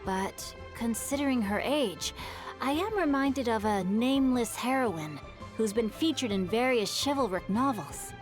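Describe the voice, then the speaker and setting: A woman's voice: fairly high pitch, animated, a little slow. A bookish, articulate young man. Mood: thoughtful, narrating. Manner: articulate, formal, thoughtful. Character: bookish young man, polite, thoughtful, well-spoken storyteller. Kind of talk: video game voice acting, measured storytelling. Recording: game dialogue over background music. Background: game music.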